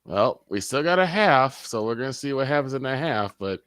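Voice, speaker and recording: A man's voice: mid-range voice, expressive, a little fast, fairly bright tone, clear, resonant tone, dry recording, studio quality. A man co-hosting a sports stream, chatting casually and teasing with questions. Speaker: co-host.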